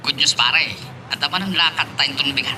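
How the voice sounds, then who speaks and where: A man's voice: fairly high pitch, fairly quick, over music, natural voice. A man playing a chummy, sly drug-dealing crony with an Ilocano Filipino accent in an amateur audio drama. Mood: upbeat, sly. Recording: clear ambient noise behind.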